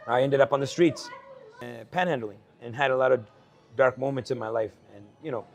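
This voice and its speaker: A man in his forties from El Salvador, a guest speaking earnestly at an investment conference about his life, with frequent fillers and quick laughs. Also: medium pitch, vocal fry. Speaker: guest entrepreneur. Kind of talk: candid conversational storytelling.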